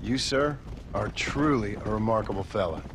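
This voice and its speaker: An actor playing a laconic frontier gunslinger in a western game, speaking with dry sarcasm. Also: male voice, on the low side, lively intonation, moderate pace, background noise. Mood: sarcastic.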